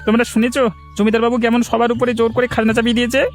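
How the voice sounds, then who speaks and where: An aggrieved cartoon village servant acted by a man with a piercingly high pitch. He complains urgently and rapidly over faint music. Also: completely flat delivery. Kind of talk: cartoon dialogue.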